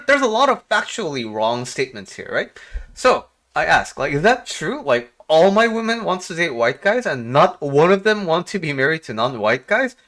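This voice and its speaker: A man from Korea talking casually and reflectively to viewers as a life coach, halting often and posing rhetorical questions. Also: high voice, a bit bright. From South Korea. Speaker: life coach and video host.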